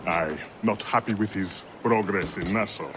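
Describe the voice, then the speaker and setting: An actor playing a calm, shrewd secret contact in a video game, matter-of-fact and measured. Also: male voice, deep voice, noisy background, close to an everyday voice. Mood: calm, conspiratorial.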